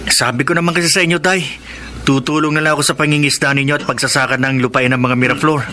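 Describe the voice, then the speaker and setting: A man's voice: a little high, fairly even intonation, fast talker, pushed and bright. A man playing a simple, protective, easily discouraged teenage brother in a Tagalog radio drama. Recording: noisy soundtrack with scene ambience.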